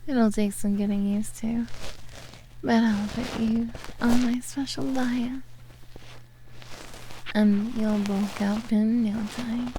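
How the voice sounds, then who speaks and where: A woman voicing a possessive, doting yet menacing beast-girl captor in a soft, coaxing ASMR roleplay. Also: fairly high pitch, fairly even intonation, a little hiss or hum, poor audio. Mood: doting, pleased. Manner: slow, coaxing, quietly domineering.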